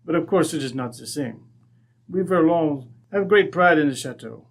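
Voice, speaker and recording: Faded French aristocrat, French accent, courtly, proud, fairly high voice, radio play. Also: male voice, expressive, gravelly. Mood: wistful, polite. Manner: formal, courtly, halting English. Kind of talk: old-time radio drama acting.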